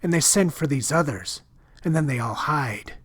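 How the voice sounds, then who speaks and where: Storybook figure: man doing a scared young woman, higher, husky, breathless whispers. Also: animated, normal speed, a bit bright. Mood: frightened. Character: timid frightened young woman hiding in a store. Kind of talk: storybook reading.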